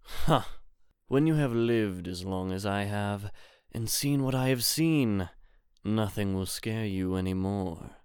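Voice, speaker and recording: Woman doing a scornful old male genie, low, slow, solemn, smooth and dry. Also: darkish tone, dry recording. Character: haughty ancient male genie, brooding and scornful.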